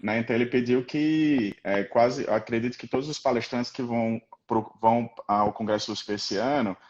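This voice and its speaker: A man's voice: mid-range voice, moderate pace, lo-fi sound. A man with a Rio Grande do Norte accent, a guest professor talking casually on a live stream, rambling with many fillers and tag questions. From Rio Grande do Norte, Brazil.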